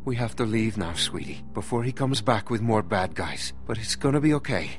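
A man playing a protective, wary middle-aged father in a survival video game, speaking in a grave, insistent, deliberate way. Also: lowish voice, lively intonation, faint music behind, natural voice.

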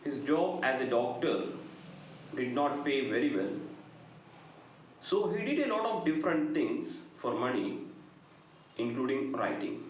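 A middle-aged man with a South Indian accent gives a prepared literature lecture in deliberate, measured short phrases. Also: mid-range voice, big pitch swings. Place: indoor room, very reverberant. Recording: very echoey room, noticeable background noise.

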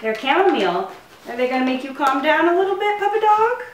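High-pitched, lively young woman vlogger. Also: quick pace. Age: young adult. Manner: playful, cooing to pets. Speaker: vlog host. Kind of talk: casual pet unboxing vlog, talking to camera and to pets. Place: living room at home, indoors. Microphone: camera a few feet away. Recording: roomy, distant mic, faint noise.

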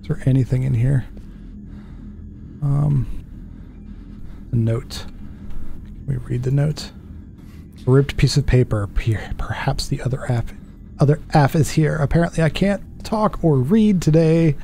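A man's voice: medium pitch, unhurried, gravelly, pauses often, mellow, a little hiss or hum. A man in his thirties giving casual let's play commentary, thinking aloud in a jittery, self-deprecating way. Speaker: gaming video host.

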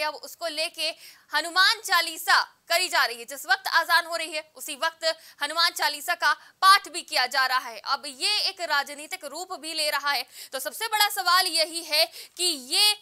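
A woman's voice: sits high, pushed and bright. A young woman anchoring a TV news debate, putting questions with emphatic repetition. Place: TV news studio.

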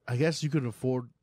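A man's voice: mid-range voice, darkish tone, slightly rough, no room sound. A man in his thirties from New York, co-hosting a comedy podcast in a small studio and teasing bluntly into a studio mic with headphones on. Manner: teasing, quick laughs, blunt. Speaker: podcast co-host. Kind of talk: casual comedic banter.

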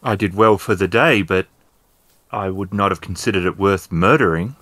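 A man's voice: deep voice, moderate pace, a bit bright, no echo. Man voicing a shaken, polite townswoman. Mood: polite, bewildered. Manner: hesitant, formal.

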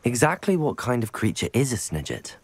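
A young man playing a resolute, measured student wizard hero in a video game, his voice slightly husky. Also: medium pitch, sing-song. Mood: curious. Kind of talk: video game cutscene acting. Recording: music underneath.

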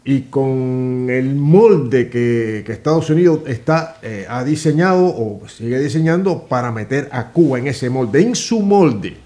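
Indignant older man, halting and emphatic. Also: mid-range voice, lively intonation, mellow. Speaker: guest commentator. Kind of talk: political commentary chat. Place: small office studio with computers.

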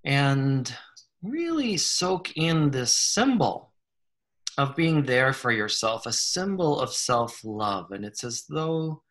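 Very slow-paced man guiding a meditation. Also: medium pitch, very animated. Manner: gentle, unhurried, with long pauses between short phrases. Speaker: guided meditation leader, psychologist. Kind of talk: slow guided meditation, soothing instruction.

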